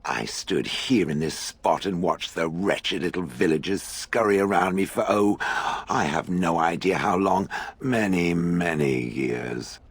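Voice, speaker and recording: Deep, husky, sardonic stone golem. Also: male voice, a little slow, dry recording, heavily acted. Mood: sardonic, bored. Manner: dry, sarcastic, formal diction. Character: towering sardonic stone golem, long-captive, haughty and world-weary. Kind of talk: video game character acting.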